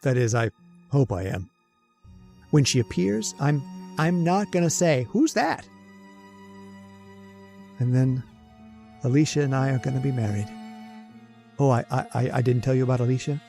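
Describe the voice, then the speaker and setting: A man's voice: medium pitch, expressive, normal speed, creaky, pauses often, dark tone, soft background music. A man playing a henpecked, jittery immortal husband in an old-time radio drama, confiding his story with stammering, plaintive asides. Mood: weary, confiding. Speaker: actor. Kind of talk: old-time radio horror-comedy acting, first-person narration.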